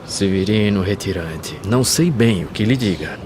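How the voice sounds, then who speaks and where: Male actor for a patient, seasoned riverside carpenter: deep voice, reflective verse, slight outdoor noise. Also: animated, lightly acted. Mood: steadfast. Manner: measured, reflective verse delivery. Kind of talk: recited verse drama in an animated film.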